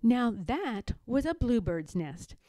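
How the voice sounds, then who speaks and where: A woman narrates a children's story at a slow, unhurried pace. Her pitch moves in a lively way, and the recording is close and dry. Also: dry recording. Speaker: storybook reader. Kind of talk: expressive children's read-aloud narration.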